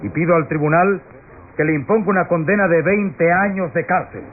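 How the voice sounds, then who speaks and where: An actor playing a stern, accusing prosecutor who addresses a jury with pompous, emphatic radio drama oratory. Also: male voice, a little high, normal speed, natural voice. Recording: tinny, noisy old radio recording.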